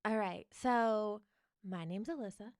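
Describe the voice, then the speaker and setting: Giggly upstate new york woman at her desk mic, mid pitch, dry sound, says um a lot. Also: unhurried, a little mellow, dry recording. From northern New York. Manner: laid-back, giggly, lots of ums. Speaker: podcast co-host, real estate agent. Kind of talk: casual chat. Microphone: podcast mic at her desk.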